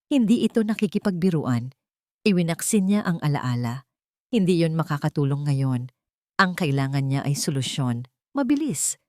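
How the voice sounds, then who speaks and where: A woman reading a scripted fiction story as a narrator, in a dramatic, deliberate way with suspense. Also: deep voice, darkish tone, no room sound, studio quality.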